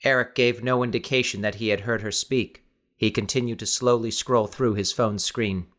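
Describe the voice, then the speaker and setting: Measured male audiobook narrator. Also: on the low side, steady tone, fairly bright tone. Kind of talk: scripted dramatic fiction narration.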